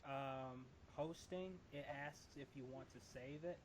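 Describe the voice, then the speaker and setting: A man chatting casually as a live stream host, thinking aloud in a halting way. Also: mid-range voice. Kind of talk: casual chat. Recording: noisy audio with clicks.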